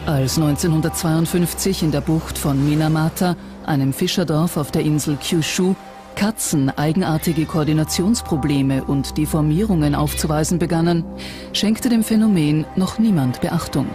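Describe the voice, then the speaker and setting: A documentary narrator reading a script in a measured, serious manner. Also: medium pitch. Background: music under the voice.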